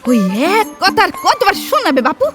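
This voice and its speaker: A very high-pitched, brusque woman, sharp-tongued yet caring as she offers shelter in an audio drama. Her talk is colloquial and scolding, with faint music underneath. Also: sing-song, fairly quick. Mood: teasing, practical. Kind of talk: Bengali audio play acting. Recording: faint background score behind the voice.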